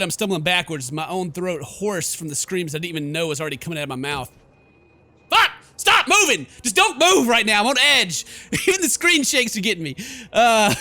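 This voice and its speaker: A theatrical, excitable man streaming a horror game, switching between dramatic read-aloud and jokey casual commentary. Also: sits high. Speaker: gaming streamer. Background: game music.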